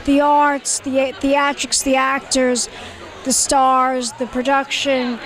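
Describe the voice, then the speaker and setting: A woman's voice: sits high, level tone, slow pace, some chatter behind. A woman TV host with a Brooklyn accent, doing flamboyant red-carpet interviews outdoors at a gala and calling everyone darling.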